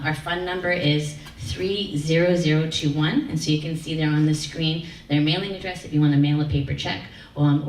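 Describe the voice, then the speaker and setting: A woman's voice: sits low, darkish tone. A woman chairing a public community meeting, speaking hesitantly with many fillers. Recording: echoey room, distant mic, faint noise.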